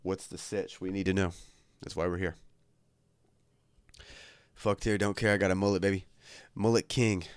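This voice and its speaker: A man's voice: low-pitched, fairly even intonation, normal speed, pauses often, clear, resonant tone, no room sound. A young man hosting a casual livestream from his bedroom, chatting in a laid-back, crude, joking way, slightly congested from a cold. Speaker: livestream host.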